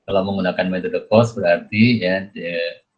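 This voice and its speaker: A deep-voiced man explains material like a lecturer. He moves haltingly, repeating himself and tagging phrases with small checks. The audio is muffled and lo-fi. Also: fairly even intonation. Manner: halting, repetitive, punctuates phrases with a checking tag. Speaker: accounting lecturer.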